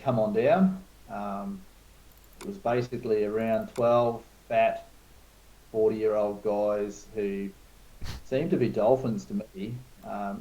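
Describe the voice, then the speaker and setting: A man's voice: on the low side, vocal fry, dark tone, low-quality recording. A man in his forties from New Zealand, telling stories in a frank, self-mocking way as a guest in a casual chat. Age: 40s. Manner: laid-back storytelling, frank and self-mocking. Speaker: guest, club organizer.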